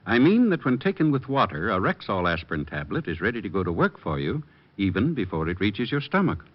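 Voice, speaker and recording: A helpful family druggist in an old radio advertisement. He sells with assurance and an expressive low voice, on a dull recording. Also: brisk. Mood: assured. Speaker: commercial pitchman. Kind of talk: 1940s-50s radio commercial.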